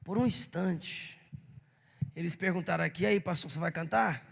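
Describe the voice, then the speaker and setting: A hoarse man, a pastor, leading a church service, urging the congregation and calling out to them. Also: high voice, moderate pace, a bit bright, big-room sound. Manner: exhorting the congregation, calling out to the crowd. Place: church hall. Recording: live church service with music.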